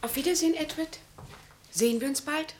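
A woman's voice: sits high, a little fast, a little mellow, close to an everyday voice. An actress in a German radio play voicing a polite, wistful woman at a party, on a noisy recording.

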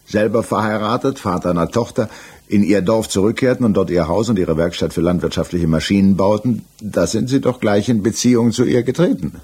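An actor in a 1960s German radio play, playing an examining judge who questions in a pointed, insistent, official and impatient way. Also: male voice, on the low side, a little fast, a little mellow, slightly rough.

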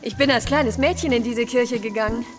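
A woman's voice: on the high side, brisk, natural voice. An actress playing a friendly, confident policewoman in a German radio crime drama. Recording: music behind the voice.

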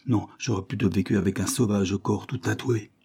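A man reading an audiobook, voicing a brash, quick-tempered young maid in a raised, vehement voice. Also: warm tone, husky. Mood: indignant. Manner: high-pitched, vehement, indignant. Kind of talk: audiobook character voice.

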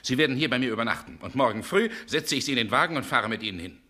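An actor playing a stern, indignant gentleman in a 1960s radio drama, with a commanding, scolding way of talking. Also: mid-range voice, animated, very fast. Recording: noisy old 1960s radio recording.

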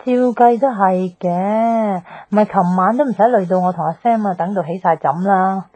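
A woman's voice: mid-range voice, normal speed, dark tone, dull audio, close to an everyday voice. An actress playing a cheeky, teasing young best girlfriend in a radio drama, breezy and slangy, with a Hong Kong Cantonese accent. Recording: faint music bed.

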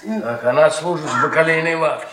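An actor as a tired, henpecked middle-aged husband in a radio play. He has a fairly high male voice and protests plaintively, repeating himself with irritation. The sound is roomy and echoing, slightly away from the microphone. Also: a little fast, distant mic, a crowd in the background, lightly acted. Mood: weary, grudging. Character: weary, henpecked, irritable 46-year-old husband. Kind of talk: theatrical radio play acting. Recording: roomy, echoey stage, off-mic.

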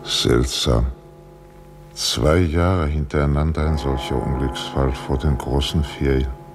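A grave, ponderous man in a radio drama. His voice is extremely deep and slow, and music plays clearly behind it. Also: fairly even intonation, full character voice. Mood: brooding. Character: grave, ponderous man puzzling over a child's disappearance.

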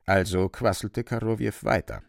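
A man narrating a literary radio drama in a creaky voice, deliberately, phrase by phrase. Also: lowish voice, no echo, clean studio sound. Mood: measured, wry. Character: measured literary narrator of a dark fantastic tale.

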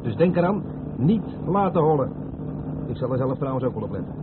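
A man acting as an experienced trucker in an old radio drama, speaking at a medium pitch. He is firm and wry, a cautionary teacher. The sound is thin, with clear vehicle noise. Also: big pitch swings, a little fast, close to an everyday voice. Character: seasoned, cautionary truck driver instructing his younger mate. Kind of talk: old radio play dialogue. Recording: tinny radio recording with loud vehicle noise.

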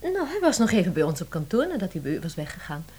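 Eager, husky-voiced woman office assistant. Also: on the low side, big pitch swings, fast, dark tone. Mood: hesitant, coy. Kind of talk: Dutch radio play acting.

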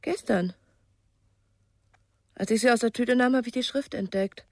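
A woman's voice: a little high, unhurried, darkish tone. An actress playing a concerned female companion in a radio crime drama, speaking directly and questioningly. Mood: matter-of-fact.

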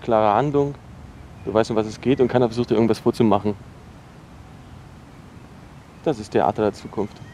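An actor playing a defensive, awkward young dropout in a naturalistic radio drama, halting and repeating himself as he talks. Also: on the low side, warm tone, natural voice. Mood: awkwardly confiding. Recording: noisy location recording with ambient hum.

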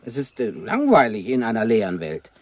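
Ein Schauspieler spielt in einem deutschen Hörspiel einen gelangweilten, verkrüppelten Ex-Raufbold, der zum Leser wurde, schlicht und neckend im Ton. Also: male voice, lowish voice, wildly swooping pitch, normal speed. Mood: bored, grumbling. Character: crippled former brawler turned bookish, bored, teasing survivor. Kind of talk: German radio play acting. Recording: radio play with clear background noise.

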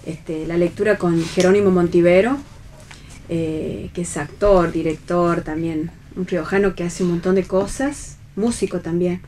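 Low-voiced Argentine woman hosting radio chat. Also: steady tone, a little slow, a little mellow, slight background noise. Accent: Argentine Spanish. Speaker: radio host. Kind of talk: casual interview chat. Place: radio recording.

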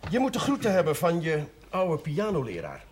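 An actor playing a genial, authoritative parish priest, paternal and persuasive, in a 1970s Dutch television drama. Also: male voice, a little high, wildly swooping pitch, normal speed, noisy background. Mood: friendly.

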